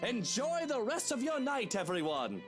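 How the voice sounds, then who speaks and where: An actor playing a pompous, rich aristocrat husband hosting a lavish party in a visual novel, theatrical in manner. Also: male voice, very high-pitched, wildly swooping pitch, moderate pace, exaggerated voice. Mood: grand, smug. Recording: loud music behind.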